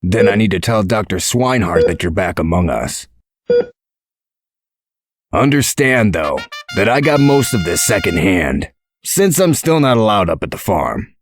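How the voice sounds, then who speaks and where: A man playing a gruff, protective male character in a comic dub, speaking in a measured, dry, explanatory way. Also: on the low side, sing-song, faint music behind. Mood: calm, explanatory.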